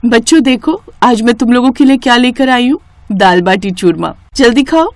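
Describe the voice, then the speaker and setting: A woman's voice: on the high side, expressive, normal speed, darkish tone, dry recording. A woman voicing a poor hardworking mother and cook in a cartoon story, humble, caring and earnest. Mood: caring, cheerful.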